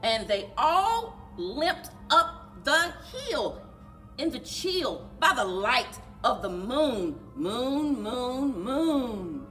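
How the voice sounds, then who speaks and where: A woman reading a children's storybook aloud in an animated, rhythmic singsong for a library story time. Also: high voice, drawn-out words, faint music behind. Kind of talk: children's storybook read-aloud with chanted refrains.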